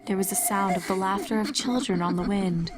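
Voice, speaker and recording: A woman reading fan fiction aloud as an expressive story narrator. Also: mid-range voice, fairly quick, heavy background noise.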